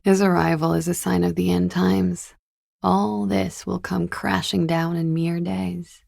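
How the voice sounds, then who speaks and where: Husky, creaky, world-weary young expatriate. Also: female voice, on the low side, little pitch movement, measured pace, a little mellow, no room sound, heavily acted. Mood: wry, teasing. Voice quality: husky, gravelly. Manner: dry, languid, ironic. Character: cool, world-weary young expatriate woman, wryly teasing. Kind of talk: video game character dialogue.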